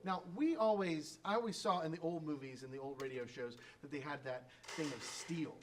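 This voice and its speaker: A man with a fairly high voice walks through a list of sound effects. He talks in a loose, rambling way, full of pauses and restarts, in the relaxed tone of a casual demonstration. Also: noisy background. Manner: rambling, hesitant, with frequent fillers and restarts. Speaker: radio drama troupe member.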